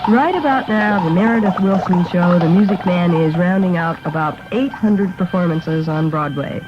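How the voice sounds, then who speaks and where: A man's voice: high voice, normal speed, music in the background. A man giving a chatty, upbeat showbiz report on 1950s radio. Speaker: entertainment correspondent. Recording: muffled old AM radio broadcast.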